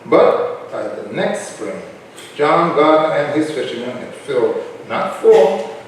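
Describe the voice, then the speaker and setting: A man's voice: fairly high pitch, sing-song, takes it slowly. A man reading scripted historical narration in a staged reading. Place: staged reading in a reverberant venue. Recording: echoey room from far off, noisy.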